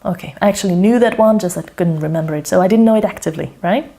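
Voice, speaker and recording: A woman's voice: lowish voice, fast, creaky, dark tone. A woman whose first language is Slovak, talking through her language study routine, chatty and self-correcting, often checking in with "okay?". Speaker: language mentor. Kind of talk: thinking aloud while demonstrating a study method.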